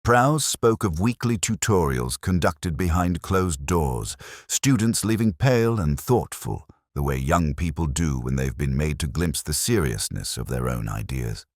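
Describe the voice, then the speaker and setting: A man with an extremely low voice reads a first-person detective mystery in a measured, reflective way. His intonation rises and falls with lively expression. The sound is close and dry, with no room around it. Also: no echo. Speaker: narrator.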